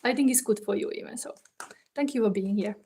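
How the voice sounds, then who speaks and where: A woman from Finland with a Finnish accent, casually reading tarot on a live stream and chatting to viewers in halting, non-native English as she thinks aloud. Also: mid-range voice, warm tone, breathy edge. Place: warm indoor room. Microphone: phone.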